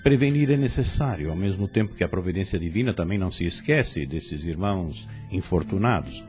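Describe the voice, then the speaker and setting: A man playing a wise, serene spirit instructor in an audio drama, teaching in a solemn, measured way. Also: low-pitched, lively intonation, a little mellow. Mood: solemn, instructive. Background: music.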